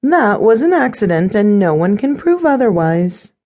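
A woman puts on a conniving storybook stepmother. The voice is lifted high and lilting, full of false sweetness and smug condescension. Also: very animated, a little slow, gravelly, warm tone, slightly rough, full character voice. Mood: sly, defensive. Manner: sugary, condescending.

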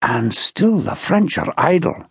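A man's voice: fairly high pitch, very animated, moderate pace, husky, no room sound, heavily acted. A male narrator acts an army major in a historical audiobook. The officer is composed, protective and wry, speaking in a very dry, close sound. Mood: hushed. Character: calm Scottish infantry major, protective and wry.

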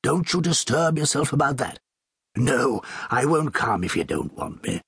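Man playing a breezy, teasing chap, husky voice. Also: medium pitch, very animated, measured pace, a bit bright, no room sound, clean studio sound, voice pushed up, heavily acted. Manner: bantering, breezy. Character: jovial, teasing male friend, breezy and mischievous. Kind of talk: audiobook character dialogue.

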